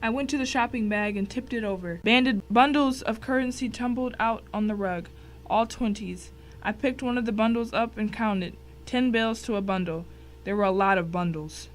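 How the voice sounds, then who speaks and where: A woman's voice: on the high side, normal speed, poor audio. A woman giving scripted first-person narration in a dramatic audio story.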